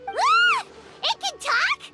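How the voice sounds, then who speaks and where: A woman voicing a small, childlike fairy companion in an anime-style game, cheeky, excitable and quick to take offence. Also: very high voice, over loud music, full character voice. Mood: indignant, startled. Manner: refers to self in third person, exclamatory.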